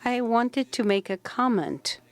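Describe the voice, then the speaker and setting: A woman delegate making a measured, formal comment in a large conference hall. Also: on the high side, expressive. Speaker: civil society delegate.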